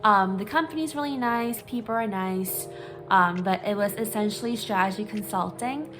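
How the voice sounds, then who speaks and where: A woman's voice: mid-range voice, moderate pace. Candid young woman vlogging over music. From Singapore. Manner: candid, hesitant, many ums, reading from talking points. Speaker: vlogger, psychology grad student. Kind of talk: personal confessional vlog. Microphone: phone.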